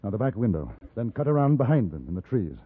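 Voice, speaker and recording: An actor playing a resolute, reserved gentleman on the frontier, measured and understated, in a 1950s radio western. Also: on the low side, very animated, slight background noise. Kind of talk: 1950s radio western acting with first-person narration. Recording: muffled vintage radio recording with music.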